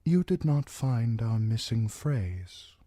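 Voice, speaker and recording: A man voicing a cold, soft-spoken older lord who murmurs everything mildly. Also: mid-range voice, lively intonation, slow pace, no room sound, clean studio sound, voice pushed up. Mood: mild, cool.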